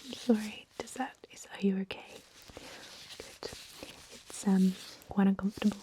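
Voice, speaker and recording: A woman whispering an ASMR roleplay as a gentle, reassuring vampire doctor, with hesitant, soothing bedside patter close to the microphone. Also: medium pitch, steady tone, moderate pace, a little hiss or hum. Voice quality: breathy whisper.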